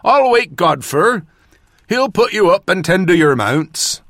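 A man voicing a friendly, excitable old rustic night watchman with a thick country accent, in a fantasy audiobook. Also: high-pitched, unhurried, no echo, studio quality, voice pushed up, heavily acted. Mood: welcoming. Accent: thick northern rustic accent of a fantasy land. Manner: hearty, folksy exclaiming.

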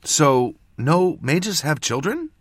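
A man voicing a feisty, indignant teenage girl apprentice mage in an audiobook, sharp and impatient. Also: medium pitch, sing-song, normal speed, no echo, studio quality, pitched above the performer's own voice. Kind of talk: audiobook character voice.

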